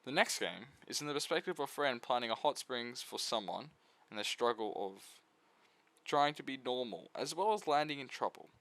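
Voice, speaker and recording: A man's voice: medium pitch, expressive, moderate pace, fairly bright tone, dry recording. A man giving a casual video essay review of visual novels, full of flippant, irreverent asides.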